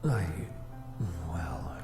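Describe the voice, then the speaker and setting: An actor playing an aloof, proud flame demon in a visual novel game, speaking formally and easily flustered. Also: male voice, dark tone. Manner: formal, measured, haughty wording. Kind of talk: scripted visual novel character acting. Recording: echoey voice over background music.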